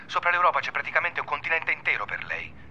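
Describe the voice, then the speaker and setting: An actor playing a brooding schoolteacher, narrating and talking insistently in a colloquial way in a radio drama. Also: male voice, on the high side, big pitch swings, fast talker, pushed and bright, slightly rough, highs cut off, exaggerated voice. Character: brooding male schoolteacher fixated on a pupil's mistake.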